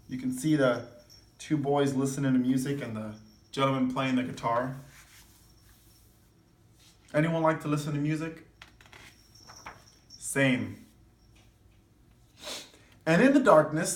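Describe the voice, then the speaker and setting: A man reading a children's picture book aloud in an instructive way, stopping for asides and questions to his listeners. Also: on the high side. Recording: echoey room, distant mic, background noise.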